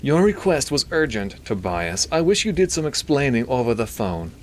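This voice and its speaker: Male narrator as a weary, pretentious man of science for an audiobook; nasal, pitched up, affected British airs, faint rain behind. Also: big pitch swings, normal speed, slight background noise, lightly acted. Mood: irritated. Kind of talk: audiobook character dialogue.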